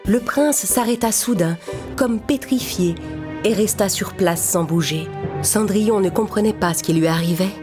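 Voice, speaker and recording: A woman's voice: medium pitch, normal speed, music in the background. A woman narrating a children's fairy tale in a scripted storytelling style.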